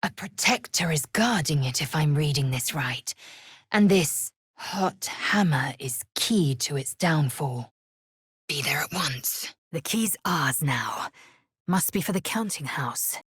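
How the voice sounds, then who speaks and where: A woman's voice: deep voice, lively intonation, slow pace, a bit bright, breathy edge, dry recording, clean studio sound, full character voice. An actress playing a fierce, proud young alien warrior woman in a fantasy video game, speaking in an imperious, clipped, scornful way with formal phrasing. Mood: hushed, grave.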